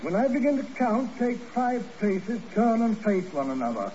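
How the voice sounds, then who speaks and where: An actor playing a formal, aging British novelist officiating a duel with ceremony, in 1950s radio drama style. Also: male voice, high voice, big pitch swings, mellow, a little hiss or hum. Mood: solemn, ceremonious. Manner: slow, ceremonious. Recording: muffled old radio recording, music behind.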